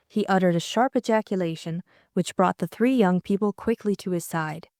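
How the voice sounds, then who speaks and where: A woman's voice: fairly low pitch, moderate pace, a little mellow, no echo, clean studio sound. A woman reading a story aloud as an audiobook narrator.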